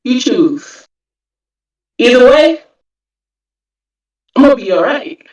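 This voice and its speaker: A woman's voice: on the high side, very animated, takes it slowly, a little mellow. A woman, an indie author, talking casually and confidently on a voice call. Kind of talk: casual talk, closing remarks. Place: home, on a voice chat. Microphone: computer mic on a voice call. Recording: very echoey, distant voice-chat audio.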